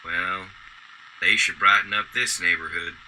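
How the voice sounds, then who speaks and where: Kindly working man for radio play, male actor: lowish, very bright forceful voice, courteous, faint noise behind. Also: steady tone, a little slow, exaggerated voice, poor audio. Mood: musing. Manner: courteous, gently conversational. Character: kindly, soft-hearted garbage truck driver Nicholas. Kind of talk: old-time radio drama acting.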